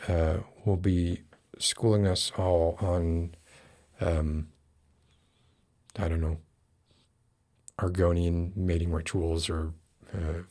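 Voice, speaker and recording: A man hosting a casual livestream interview, chatting with halting, hesitant phrasing. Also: deep voice, dark tone. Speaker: livestream podcast host.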